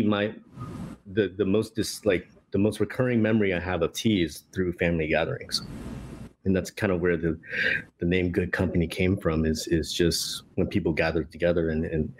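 A man in his thirties with a very low voice chats loosely as an interview guest. He circles back on his words and leans on fillers. A faint background hiss sits under the recording. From Vietnam. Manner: relaxed, many restarts and fillers. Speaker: guest, tea company co-founder. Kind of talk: casual interview conversation.

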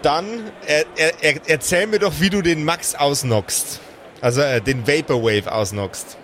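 Game master, faint noise. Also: male voice, a little high, a little slow, a bit bright. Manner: colloquial, swears casually. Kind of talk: improvised tabletop game narration.

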